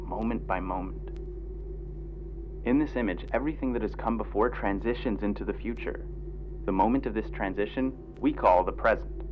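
A man with a lowish voice delivers measured, scripted explanation like a lecture. The recording is dull and muffled, with a clear humming noise behind him. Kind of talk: scripted explanatory narration of philosophy.

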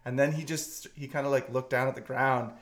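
A man of about forty in casual comic banter, telling anecdotes in an animated way and acting out the dialogue. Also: mid-range voice, brisk. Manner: animated, acts out quoted dialogue. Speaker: comedy podcast host.

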